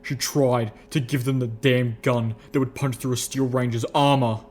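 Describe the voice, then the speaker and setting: Casting: male narrator playing grave armored ghoul veteran, rumbling growl, rigid military formality, for an audio storybook. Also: steady tone, moderate pace, a little mellow, a little hiss or hum, close to an everyday voice. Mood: dangerous, angry. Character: stern armored ghoul soldier, male, old, rigidly dutiful.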